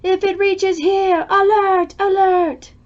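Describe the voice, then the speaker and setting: Excitable storm alarm for a children's tale, played by a woman: raised pitch, urgent, over-the-top warnings. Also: slow pace, lo-fi sound. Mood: urgent alert. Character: excitable storm-gauge alarm calling out escalating danger warnings. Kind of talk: children's storybook read-aloud.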